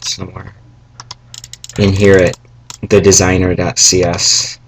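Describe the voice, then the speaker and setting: A young man with a low, flat voice that sounds a little raspy, slowly and haltingly talking through a coding tutorial full of asides. Mouse clicks and keyboard taps sit under his voice along with a faint household hum. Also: rough recording. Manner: rambling, halting, with fillers and asides. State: slightly raspy from salty food. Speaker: tutorial maker. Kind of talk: casual screen-recorded coding tutorial. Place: home room, family noise around.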